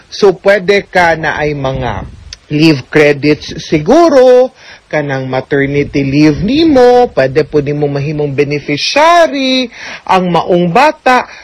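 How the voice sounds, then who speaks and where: A woman with a Cebuano Filipino accent, a lawyer hosting a radio advice show, explaining things conversationally and often checking in with listeners. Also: lowish voice. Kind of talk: radio legal advice talk.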